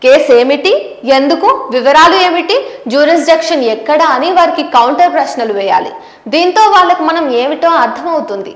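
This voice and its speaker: Female news presenter, high voice, scripted explainer delivery, echoing room. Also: expressive, moderate pace. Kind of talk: scripted explanatory narration to viewers. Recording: roomy, echoing voice recording.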